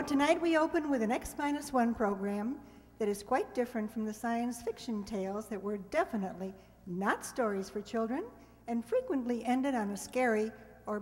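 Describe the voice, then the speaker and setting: A woman's voice: a little high, darkish tone. A woman giving a prepared, courteous stage introduction as host of a radio players' show. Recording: echoey hall, live stage.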